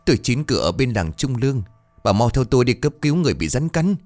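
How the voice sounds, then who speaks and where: An anxious middle-aged villager rushing to fetch help, words tumbling out fast, as a male narrator puts him on. Faint music sits behind the lively delivery. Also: mid-range voice, pitched above the performer's own voice, lightly acted. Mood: urgent. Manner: hurried, pleading. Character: middle-aged village man, urgent and hurried.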